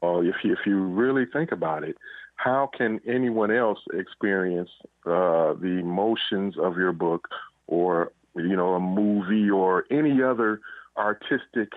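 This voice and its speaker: A man's voice: low voice, a little slow, no echo. A man answering interview questions as an author, in a reflective, conversational way, often saying "you know". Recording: muffled, phone-like line.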